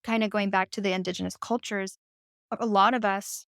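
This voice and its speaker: A woman's voice: medium pitch, steady tone, fairly quick, creaky, fairly bright tone, no room sound, studio quality. A woman speaking as a podcast guest, talking in a reflective way with lots of "like" and "right?". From United States. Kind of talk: conversational interview.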